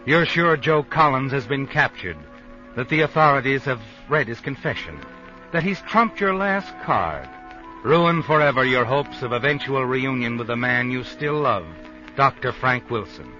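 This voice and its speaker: Knowing, bright-edged American suspense narrator. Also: male voice, medium pitch, expressive, moderate pace, soft background music. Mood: taunting, ominous. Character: knowing, taunting male narrator, an unseen omniscient observer. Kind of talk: 1950s suspense radio narration addressed to the character. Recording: muffled 1950s radio recording.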